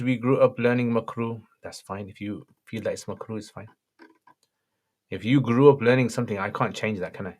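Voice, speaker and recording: Croaky-voiced man answering questions. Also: on the low side. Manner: brief, matter-of-fact answers. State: slightly croaky voice. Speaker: Islamic scholar answering viewer questions. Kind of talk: livestream Q&A, reading out questions.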